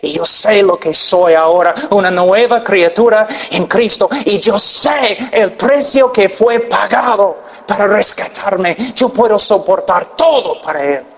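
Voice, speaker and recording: American guy preaching in spanish, slow and intense, big echoey hall. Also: high voice. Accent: American, with Peruvian Spanish learned late. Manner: earnest, emphatic, long pauses, drops to quiet intensity. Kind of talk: sermon. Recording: long hall reverb.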